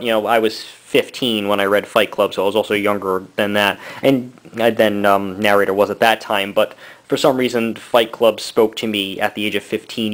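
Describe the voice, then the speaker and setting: Low-voiced rambling man in his thirties. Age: 30s. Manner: rambling, halting, many fillers; laughs between thoughts. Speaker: book and comic reviewer. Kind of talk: casual rambling review.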